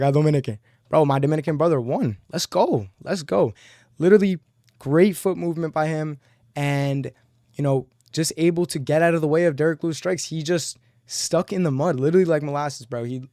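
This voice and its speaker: A man's voice: medium pitch, darkish tone, no echo, studio quality. A young man giving a casual, rambling fight recap, full of slang and constant "bro"s. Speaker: MMA commentator.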